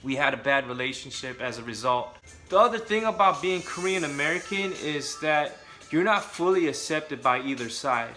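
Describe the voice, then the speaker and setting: Bright-voiced man talking candidly. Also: on the high side, lively intonation, normal speed, soft background music. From Southern California. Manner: conversational, candid, joking asides. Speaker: vlogger. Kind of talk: casual talk to camera.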